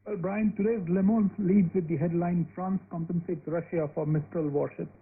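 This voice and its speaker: High-pitched man reading a radio press review. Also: fairly even intonation, normal speed. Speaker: newspaper reviewer. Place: radio studio. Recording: phone-like shortwave radio, faint noise.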